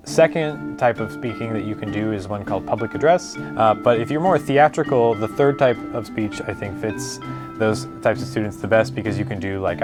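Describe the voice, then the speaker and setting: A middle-aged man with a low voice explains his school's speech team in a relaxed, conversational way, pausing on the odd filler. A clear music bed plays under his voice. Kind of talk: interview answers.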